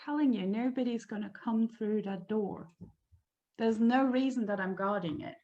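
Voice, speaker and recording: A woman's voice: on the high side, normal speed, dark tone, dull audio, close to an everyday voice. A woman as a lone door guard musing. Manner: musing, rambling to herself. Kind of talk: improvised monologue scene.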